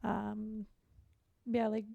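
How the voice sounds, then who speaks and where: A woman guest on a podcast, an athlete and coach, talking candidly and casually in a halting, reflective way full of "like". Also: mid-range voice, completely flat delivery, gravelly, mellow, dry recording, muffled recording. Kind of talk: candid casual interview chat.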